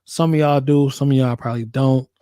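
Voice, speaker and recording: An American man hosting a casual livestream, laid-back and slangy, repeating his phrases, with a voice hoarse from a cold he is getting over. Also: mid-range voice, fairly even intonation, a little fast, mellow, dry recording, studio quality. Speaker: livestream host, working nurse.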